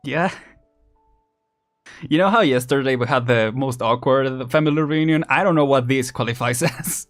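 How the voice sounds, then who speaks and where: A man's voice: medium pitch, normal speed. A man giving casual, rambling, chuckle-prone live commentary as he streams a video game. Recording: game music behind.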